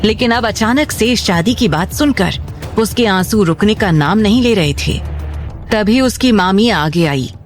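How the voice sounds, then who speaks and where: A woman's voice: medium pitch, expressive, measured pace. A woman narrating a scripted, dramatic story as the storyteller of an audio series. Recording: music bed under the voice.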